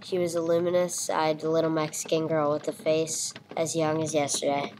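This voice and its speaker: A woman acting as a sober police detective with a low voice, narrating in clipped, matter-of-fact sentences. It has the style of a 1950s radio crime drama, recorded with clear background noise. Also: flat delivery, moderate pace, a little mellow, close to an everyday voice. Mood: sober, reflective. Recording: clearly noisy amateur recording.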